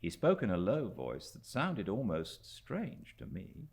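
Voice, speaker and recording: A British male audiobook narrator with a low, gravelly voice. He reads literary prose deliberately, swinging his pitch expressively and leaving dramatic pauses between phrases. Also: wildly swooping pitch, darkish tone. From Britain.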